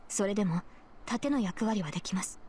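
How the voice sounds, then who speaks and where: A woman's voice: mid-range voice, expressive, rapid-fire, a loud crowd, natural voice. An actress playing an earnest, formal young woman knight in a Japanese anime visual novel, speaking politely and with composure. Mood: apologetic, resolute.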